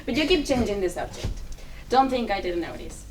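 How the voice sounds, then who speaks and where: A woman's voice: medium pitch, big pitch swings, a little fast, close to an everyday voice. Woman as weary, wounded Cuban mother for stage drama, pleading and exasperated, slightly distant recording with faint noise. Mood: guarded, wry. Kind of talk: dramatic play reading.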